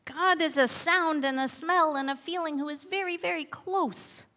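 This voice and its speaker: A woman voicing a tiny, earnest ant in a soft, hushed, raised voice. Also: high-pitched, moderate pace, in a large hall, voice pushed up.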